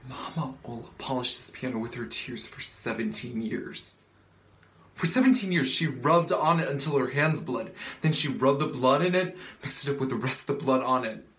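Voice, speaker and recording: A man's voice: mid-range voice, level tone, moderate pace, sharp tone, close to an everyday voice. A young man performing a dramatic stage monologue as a bitter, grieving, accusing family member, with emphatic repetition. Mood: indignant. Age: young adult. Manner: emphatic, repeating phrases. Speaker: student actor. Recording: roomy echo with background noise.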